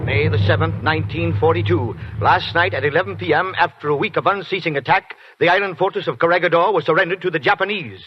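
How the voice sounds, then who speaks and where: Wartime news announcer voiced by a man: crisp, fast, slightly high voice, noisy muffled broadcast. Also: natural voice. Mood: solemn. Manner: crisp, formal bulletin reading. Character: formal male radio news bulletin announcer. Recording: muffled 1940s radio with noticeable noise.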